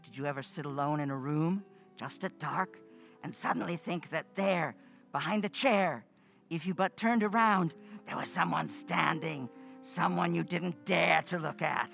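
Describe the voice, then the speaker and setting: A woman's voice: sits low, gravelly, fairly bright tone, soft background music, heavily acted. A woman playing a menacing, bloodthirsty undead old woman who taunts and commands in old-time radio horror style. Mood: eerie menace. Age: older. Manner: slow, taunting, commanding, gloating.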